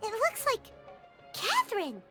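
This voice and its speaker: Squeaky, childlike fairy companion. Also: female voice, huge pitch swings, unhurried, loud music behind the voice, full character voice. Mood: surprised, curious. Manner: refers to self in third person, exclamatory. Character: tiny chirpy female fairy companion, excitable and childlike. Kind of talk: video game cutscene dialogue.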